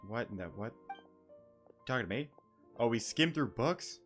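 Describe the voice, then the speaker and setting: A man's voice: medium pitch, lively intonation, moderate pace, a bit bright. A man giving casual let's-play commentary, with wry, joking asides. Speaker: gaming YouTuber. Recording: game music underneath. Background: game music.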